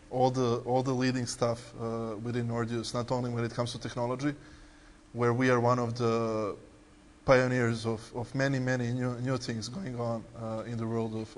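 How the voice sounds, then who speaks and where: Serbian man on a conference panel. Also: medium pitch. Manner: fluent but hesitant, many "uh" fillers and restarts. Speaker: tech company CEO on a panel. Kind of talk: panel introduction. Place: conference hall. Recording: hall reverb, faint background noise.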